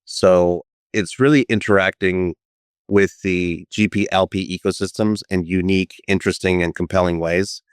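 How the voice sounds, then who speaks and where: A man's voice: sits low, steady tone, normal speed, clean tone, no echo, studio quality. A man being interviewed as a company co-founder, explaining his firm's mission and his family background thoughtfully. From United States. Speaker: guest, firm co-founder. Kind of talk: interview answers.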